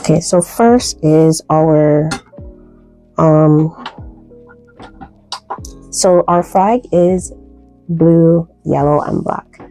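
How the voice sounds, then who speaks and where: A woman from Barbados with a softened Barbadian accent, chatting casually through a soap-making tutorial with lots of fillers. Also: deep voice, drawn-out words, long pauses, faint music behind, low-quality recording. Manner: relaxed, chatty, many fillers, light laughs.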